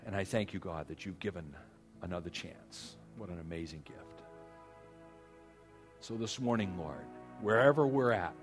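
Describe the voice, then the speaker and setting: A man preaching as a pastor, earnest and exhorting, with dramatic pauses, repeated phrases and a husky voice. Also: sits low, faint music behind. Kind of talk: sermon with personal stories and prayer.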